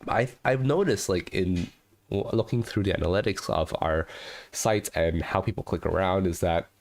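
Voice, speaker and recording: A man's voice: low voice, moderate pace, vocal fry. A man in his thirties, congested from a cold, chatting casually as host of a livestream, full of "you know" and "right?", from a living room with a webcam. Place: living room with a red sofa, indoors.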